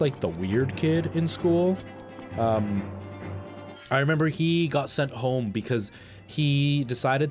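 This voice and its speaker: A man's voice: medium pitch, a little mellow. A man chatting casually to viewers on a game stream, telling rambling anecdotes. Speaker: live streamer.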